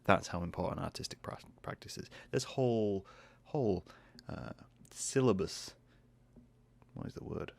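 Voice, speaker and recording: A Northern English man talks into a close desk mic in a casual solo podcast. His voice sits very low with a gravelly, creaky edge. He is croaky and hoarse today and wanders through his thoughts with self-deprecating restarts. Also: animated, normal speed. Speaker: podcast host and artist. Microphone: desk microphone close to face.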